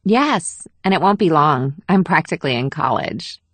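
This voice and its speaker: A woman's voice: low voice, lively intonation, moderate pace, a bit bright, no echo. A woman playing an eager, pouty teenage girl in audiobook dialogue. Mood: defensive.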